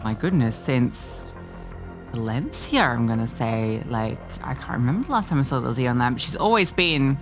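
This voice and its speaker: A woman's voice: very deep voice, lively intonation, moderate pace, music in the background. A woman commentating an esports match, with playful, teasing banter toward her co-caster. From Australia. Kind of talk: live game commentary and casual chat.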